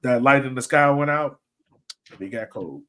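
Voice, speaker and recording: A man chats as a sports podcast host with a medium-pitched voice. He talks informally and works out his points aloud in a loose, rambling way. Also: moderate pace, fairly bright tone. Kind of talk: casual soccer fan chat and analysis.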